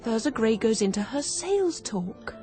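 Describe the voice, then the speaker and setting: An actress playing a keen, quick-witted young woman turned amateur sleuth in a radio drama, brisk, confident and persuasive. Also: fairly high pitch, very animated, warm tone, faint music behind. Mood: excited, intent.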